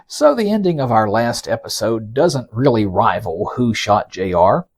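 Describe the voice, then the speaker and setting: A man's voice: on the low side, very animated, normal speed. A man hosting a podcast, telling scripted stories in a conversational, folksy way with teasing asides to the listener. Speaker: podcast host and narrator. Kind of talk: conversational scripted storytelling, reading quotes.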